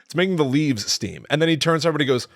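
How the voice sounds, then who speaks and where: A man's voice: a little high, lively intonation, fast talker, vocal fry, dry recording. Man chatting casually on a film podcast. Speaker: podcast co-host. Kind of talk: casual film discussion chat.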